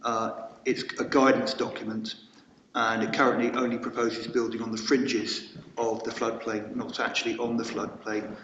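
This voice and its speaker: A man's voice: mid-range voice, flat delivery, normal speed, a bit bright, distant mic, a little hiss or hum. A man councillor firmly answering a question at a council meeting, with frequent "uh" fillers. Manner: firm, with uh fillers. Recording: dull echoey chamber from off mic.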